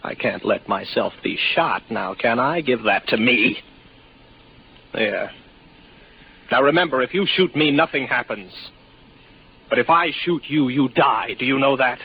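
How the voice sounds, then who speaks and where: An actor playing a weary, isolated space officer in a 1950s radio drama, with a wry, deliberate delivery. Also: male voice, mid-range voice, expressive, gravelly, husky, full character voice. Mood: weary, commanding. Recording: dull, noisy 1950s radio recording.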